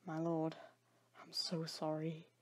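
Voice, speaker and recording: Woman as remorseful loyal man, husky, apologetic, hesitant, audio drama. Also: low voice, completely flat delivery, slow pace. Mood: grieving remorse. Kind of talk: dramatic audio story acting.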